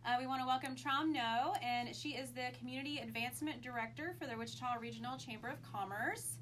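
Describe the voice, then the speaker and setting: A woman's voice: high-pitched, quick pace, a bit bright, a little hiss or hum. A woman co-hosting a podcast, bubbly and chatty with lots of likes and ums. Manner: bubbly, chatty, filler-heavy. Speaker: podcast co-host. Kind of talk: casual interview chat.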